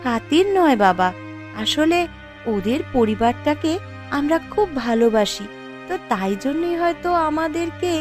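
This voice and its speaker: A woman voicing a tender-hearted young village woman in a cartoon family drama, with earnest, emotive, drawn-out delivery. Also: high-pitched, expressive, a little mellow. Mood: warm, respectful. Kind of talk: melodramatic cartoon voice acting. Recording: music behind the voice.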